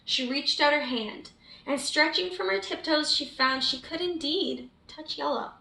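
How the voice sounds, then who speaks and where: A woman's voice: high voice, a little slow, vocal fry, bright, forceful tone. A woman reading a picture book aloud expressively for young children, engaging them as she goes. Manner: prompts young listeners with questions. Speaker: children's storybook reader. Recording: roomy, echoey sound.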